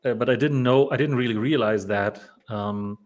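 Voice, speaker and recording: Polish-born guy streaming dev chat, rambly, um-heavy, middle-pitched voice. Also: moderate pace, dull audio. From Poland. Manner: rambling, many fillers and restarts. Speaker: game developer streamer. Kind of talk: casual live stream chat.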